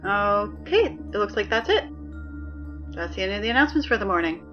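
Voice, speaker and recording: A woman playing a reluctant, easily flustered high school announcer in an audio drama, awkward and deadpan with weary, exasperated asides. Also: brisk, highs cut off, soft background music. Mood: weary, uneasy.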